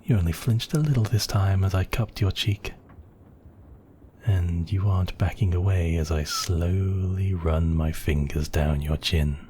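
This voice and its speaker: Guy doing a super deep slow vampire count, obsessive and seductive, whispery asmr roleplay, bit of background noise. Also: a little mellow. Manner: intimate, murmuring, often slipping into whispers. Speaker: audio roleplay voice actor. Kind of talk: intimate ASMR roleplay acting.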